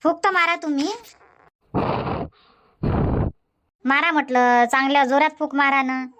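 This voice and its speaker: In a dubbed cartoon comedy, a nagging old village grandmother, voiced by a woman, bosses her husband around. She speaks with a Varhadi Marathi accent and answers back fast. Her voice sits high and light. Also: a bit bright. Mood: bossy, bickering. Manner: nagging, quick comebacks.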